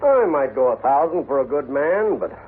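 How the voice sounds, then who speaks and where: Man as a brisk western railroad boss, tinny recording. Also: medium pitch, sing-song, close to an everyday voice. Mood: wary, firm. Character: businesslike, wary male railroad agent hiring gunmen. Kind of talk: 1950s western radio acting. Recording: tinny, noisy old radio recording.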